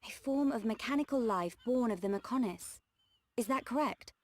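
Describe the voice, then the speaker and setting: A woman voicing a gentle, brave but frail young heroine turned machine in a game, speaking softly and pleadingly. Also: on the high side, expressive, moderate pace. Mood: inquiring. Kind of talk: scripted game cutscene acting.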